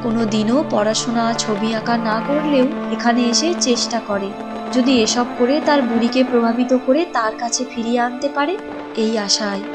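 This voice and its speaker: Female cartoon narrator, fairly high voice, scripted storybook read with music behind. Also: normal speed.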